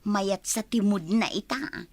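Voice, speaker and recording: A woman's voice: medium pitch, measured pace, a little mellow, no room sound, lightly acted. A woman playing a cheerful grown daughter in an Ilocano radio comedy drama, speaking with an Ilocano accent.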